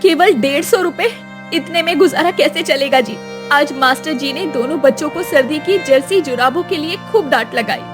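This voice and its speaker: A woman's voice: high voice, moderate pace, over music, natural voice. A woman acting a poor, long-suffering wife and mother in a cartoon moral story, tender, plaintive and emotional. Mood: worried.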